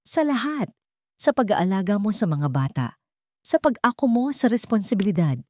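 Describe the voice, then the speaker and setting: A woman with a Filipino accent voicing a gentle, grateful young single mother in a dramatic story. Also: medium pitch, sing-song, normal speed, dry recording, clean studio sound, voice pushed up, lightly acted. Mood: grateful, tender.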